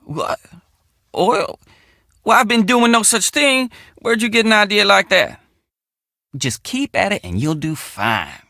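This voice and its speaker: An actor playing a folksy, earnest, excitable young country handyman in a video game, with an American Southern accent and a colloquial drawl. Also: high-pitched, a little slow, gravelly, pauses often, dry recording, studio quality, exaggerated voice. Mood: defensive, indignant. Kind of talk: video game character dialogue.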